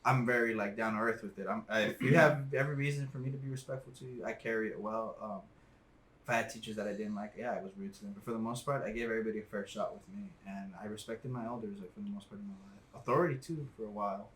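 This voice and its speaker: A man telling stories about parenting in a relaxed group chat, rambling with lots of fillers. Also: lowish voice, fast talker, slight background noise, low-quality recording. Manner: filler-heavy, rambling storytelling. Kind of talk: casual chat.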